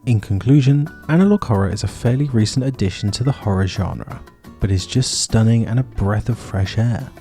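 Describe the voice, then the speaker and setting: Low, mellow-voiced man narrating. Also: normal speed, soft background music. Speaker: video essay host. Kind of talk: scripted video essay narration.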